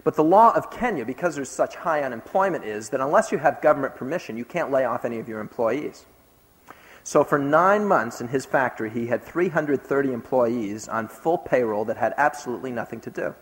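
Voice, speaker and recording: A man recounts his travels with earnest emphasis. His voice sits at a middle pitch and carries the long reverb of a large hall. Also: lively intonation, fairly quick. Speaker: speaker recounting a trip. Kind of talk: recounting a trip in a talk.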